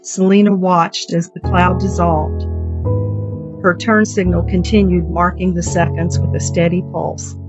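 A woman's voice: fairly low pitch, flat delivery, measured pace. A woman writer reading her own literary short story as a narrator. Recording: dull, dry recording with music bed.

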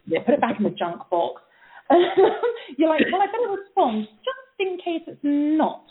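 A woman guest tells stories with lots of laughter in a lively, chatty way. Her voice sits fairly high. The sound is thin and tinny, as if heard over a phone line. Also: measured pace. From England and Austria. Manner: chatty, laughing, animated storyteller. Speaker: guest opera singer. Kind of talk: casual chat.

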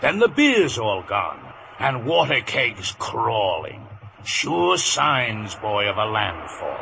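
Gravelly, slow-drawling sailor. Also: male voice, lowish voice, wildly swooping pitch, heavily acted. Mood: folksy. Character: weathered old-time sailor, folksy and gruff. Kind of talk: 1950s radio drama acting. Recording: crackly old radio broadcast.

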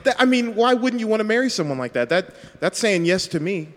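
A woman's voice: mid-range voice, very animated, brisk, close to an everyday voice. A woman playing a hopeful, marriage-hungry girlfriend in a mock radio commercial, gushing and insistent, in an echoey, noisy recording. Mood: hopeful insistence. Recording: echoey hall, noisy background.

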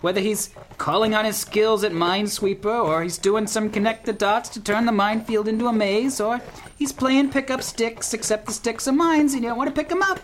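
Man acting high-voiced wisecracking traveler. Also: steady tone, a little fast, a little hiss or hum, lightly acted. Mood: cautious, wry. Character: wisecracking, excuse-making male traveler on horseback. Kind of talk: comic radio play acting.

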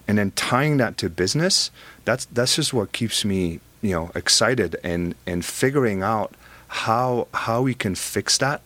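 A middle-aged man from Belgium with a Belgian accent, a guest in a conversational interview, animated and often repeating words as he starts sentences. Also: mid-range voice.

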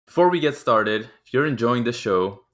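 A man's voice: medium pitch, lively intonation, moderate pace, a bit bright. A man co-hosting a podcast in casual conversation, with a playful, teasing way of talking. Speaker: podcast co-host.

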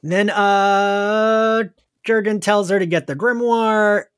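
A man's voice: high-pitched, fairly even intonation, slow pace, fairly bright tone, smooth. A man casually recapping an episode on a podcast, giggly and a bit meandering as he talks. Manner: giggly, prone to laughing; meandering, hesitant. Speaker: podcast host.

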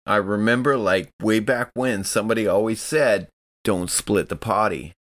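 A man playing a tabletop game, discussing choices casually in an earnest, deliberate way. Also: lowish voice, fairly bright tone.

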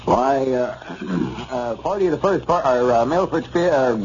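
A man playing a pompous, self-important homespun legal expert in 1930s radio comedy, halting, with a rural Arkansas accent. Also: mid-range voice, lively intonation, a little mellow, lightly acted. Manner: pompous, halting, throat-clearing, fumbling big words. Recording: muffled, lightly noisy 1930s radio recording.

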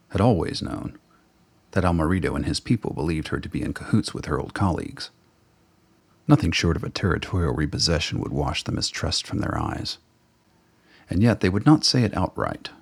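A man narrating a radio drama in a measured storytelling way, with a gravelly, husky voice. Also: rumbling low.

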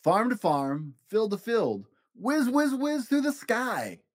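A storybook reader delivers rhyming narration for children with very lively, sweeping intonation. The sound is dry and close, and each line is shaped for a young listener. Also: medium pitch, takes it slowly, dry recording. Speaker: picture book reader.

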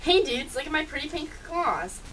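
A woman's voice: high-pitched, expressive, moderate pace, fairly bright tone. Woman playing a bossy, scornful cat, recorded distantly. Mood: pleased. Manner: sarcastic, insulting, superior. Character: bossy, scornful young female cat scheming world domination. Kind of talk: amateur scripted audio comedy acting. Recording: distant mic, faint background hiss.

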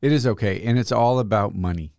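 A man's voice: lowish voice, fairly quick, darkish tone, no echo. A man co-hosting a show in casual chat, with a dry, teasing manner. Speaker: radio show co-host.

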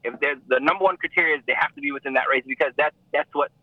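Male co-host, slightly high voice, rambly, heard over a thin phone connection. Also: very fast, no room sound. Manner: rambling, restarts with emphatic pitch jumps. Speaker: podcast co-host. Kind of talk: casual chat. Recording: tinny phone-like sound.

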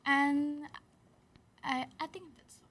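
A teenage girl from Indonesia speaks with a somewhat high voice. She answers in simple, soft phrases. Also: steady tone, takes it slowly. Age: teens. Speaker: exchange student guest.

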